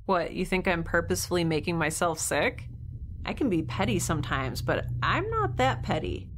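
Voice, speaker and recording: A woman's voice: lowish voice, big pitch swings, normal speed, background noise. A woman playing a blunt, naive young scientist with a sarcastic, matter-of-fact manner in a naturalistic audio drama. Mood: defensive, snarky.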